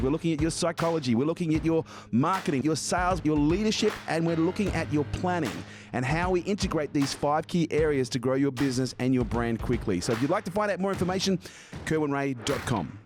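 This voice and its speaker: A man reading an advertisement in a rapid, persuasive, list-like delivery. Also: a little high. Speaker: sponsor ad reader. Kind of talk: read advertisement. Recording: music bed behind voice.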